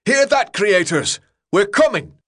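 Man voicing a forceful, higher-pitched teenage boy leader. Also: expressive, normal speed. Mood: defiant yelling. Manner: forceful, rallying, colloquial. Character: rousing teenage boy leader, blunt and defiant. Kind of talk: audiobook character dialogue.

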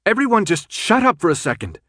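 A man's voice: mid-range voice, very animated, brisk, no room sound, clean studio sound. Insistent, tough young woman voiced by a man. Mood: sharp, loud. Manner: insistent, businesslike.

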